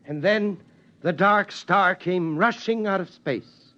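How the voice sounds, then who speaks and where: A man playing a weary, steady father who tells his family how the world ended, in slow, solemn 1950s radio drama style. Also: high voice, heavily acted. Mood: ominous. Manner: slow, solemn storytelling cadence. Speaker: father telling the family story. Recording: dull 1950s radio broadcast sound.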